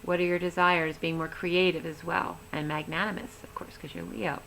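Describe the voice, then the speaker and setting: A woman giving a rambling, chatty tarot reading, talking with a voice that is going hoarse. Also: fairly low pitch, little pitch movement, moderate pace, fairly bright tone, a little hiss or hum, low-quality recording. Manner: chatty, rambling, laughs easily. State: losing her voice. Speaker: tarot reader. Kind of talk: casual tarot reading to camera.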